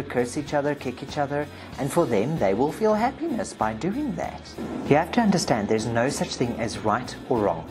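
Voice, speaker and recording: A woman with a South African accent, hosting a spiritual video and reading session transcripts aloud with emphasis. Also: extremely low pitch. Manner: emphatic, forceful when reading the client. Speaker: video host, spiritual practitioner. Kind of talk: narration read from session transcripts.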